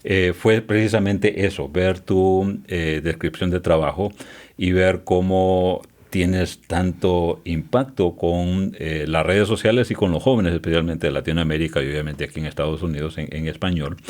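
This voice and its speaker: A man's voice: low-pitched, fairly even intonation, normal speed, a little mellow. A man hosting a podcast interview, speaking politely and hesitantly, with frequent filler sounds. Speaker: podcast host.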